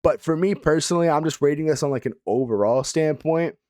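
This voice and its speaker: A young man with a slightly hoarse voice, casually reviewing viewers' gaming setups in a laid-back way, full of fillers. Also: a little high, fairly quick, a little mellow, dry recording, studio quality. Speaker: gaming video creator.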